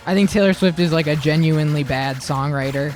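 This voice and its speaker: A man with a high voice chatting casually on a livestream, blunt and opinionated, swearing freely. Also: fairly quick, faint music behind. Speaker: livestream co-host. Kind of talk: casual chat on a gaming stream.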